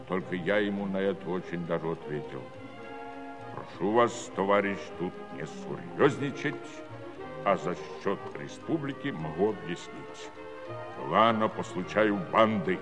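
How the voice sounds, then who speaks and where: An actor playing a folksy, self-important Cossack village judge who speechifies in garbled rustic officialese with a southern Russian Don dialect. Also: male voice, on the low side. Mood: proud, reminiscing. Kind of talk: theatrical musical comedy monologue. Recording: reverberant 1970s studio recording over music.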